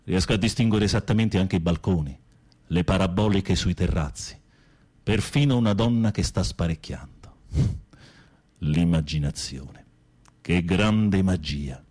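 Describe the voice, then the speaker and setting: Husky, low-voiced theatrical prisoner. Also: male voice, darkish tone. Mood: dreamy, despairing. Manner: theatrical, sardonic, with drawn-out musing. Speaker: radio drama actor. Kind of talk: Italian radio theatre acting.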